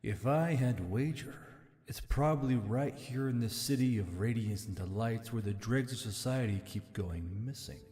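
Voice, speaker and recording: A man's voice: medium pitch, moderate pace, hardly any pauses, mellow, lightly acted. A man playing an ancient, aloof spirit bound in a torque, speaking in formal, archaic storytelling phrases for an audiobook. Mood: grave, knowing. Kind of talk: scripted audiobook character acting. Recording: long reverb, music behind.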